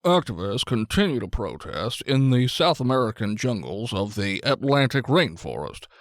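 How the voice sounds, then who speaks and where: A man's voice: mid-range voice, no echo, clean studio sound. A man playing a news correspondent, delivering a scripted report in a serious manner. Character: male news correspondent reporting grimly on events.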